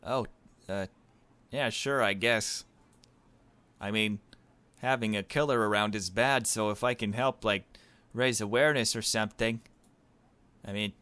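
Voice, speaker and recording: A man plays a worried teenage girl in a mystery visual novel game. The voice is fairly low, with halting, unsure delivery and questioning lines. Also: bright, forceful tone, clean tone, no echo. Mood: hesitant, awkward.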